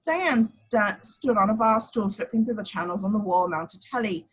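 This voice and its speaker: A woman's voice: medium pitch, moderate pace, slightly rough, rough recording. A woman reading screenplay action lines as the narrator of a table read. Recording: home recording.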